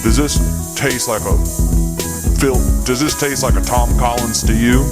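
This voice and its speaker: Man playing a rambling foul-mouthed road comic, middling pitch, loud music bed. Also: fairly even intonation, unhurried, natural voice. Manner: rambling, swearing, absurd tall tales.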